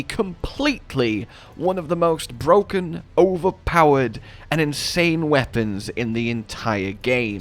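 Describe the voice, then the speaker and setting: A man's voice: fairly high pitch, fairly bright tone. A man presenting a scripted video game walkthrough, with emphatic, dramatic phrasing.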